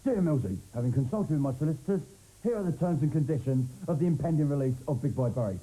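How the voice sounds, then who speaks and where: A man's voice: fairly high pitch, lively intonation, fast talker, dark tone, faint music behind, low-quality recording. A man acting a sly, mock-formal part in a comedy sketch.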